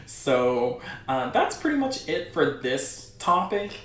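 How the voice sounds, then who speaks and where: A man's voice: high voice, big pitch swings, slow. A man hosting a livestream, chatting casually to viewers in an informal, encouraging way. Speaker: livestream host. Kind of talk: casual chat to a livestream audience. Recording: very echoey room, distant mic.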